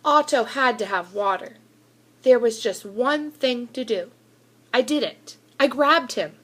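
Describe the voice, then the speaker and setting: Slow, high-pitched woman reading a children's story. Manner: animated, deliberate storytelling with emphatic exclamations. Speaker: school reader of children's books. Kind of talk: expressive children's picture book read-aloud.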